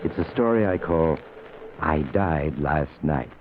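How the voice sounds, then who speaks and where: An actor playing an ominous, drily macabre storyteller, hosting an old-time radio mystery with scripted narration. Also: male voice, very deep voice, expressive, slow, narrow, tinny audio, background noise, full character voice. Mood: ominous, wry. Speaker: mystery show host. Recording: thin, humming old radio recording. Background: music.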